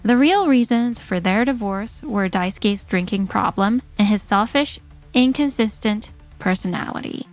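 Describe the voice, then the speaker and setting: A woman's voice: mid-range voice, lively intonation, unhurried, soft background music. A woman reading scripted first-person story narration for a manga dub.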